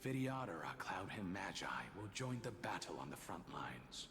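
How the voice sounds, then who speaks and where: An actor playing a solemn, weary leader in a game, with a measured, grave and formal delivery. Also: male voice, deep voice, sing-song. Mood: grave, resolute. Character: solemn, weary male Vidyadhara leader with hidden anguish. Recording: long echo, music behind.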